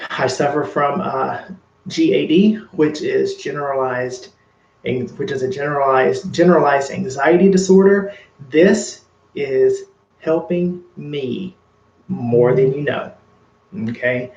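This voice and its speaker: A man's voice: medium pitch, gravelly, a little mellow, reverberant, muffled recording. A man casually chatting to his livestream viewers, rambling and earnest with many fillers. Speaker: makeup vlogger.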